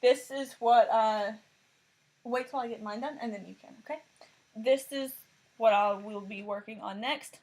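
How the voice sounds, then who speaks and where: A woman's voice: on the high side, a little slow, a little mellow, poor audio. A woman with a thick Southern Tennessee accent chatting casually and rambling a bit as she hosts a crafting vlog. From Tennessee, USA. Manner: chatty and rambling, with frequent fillers and self-corrections. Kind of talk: casual chat about cross-stitch projects.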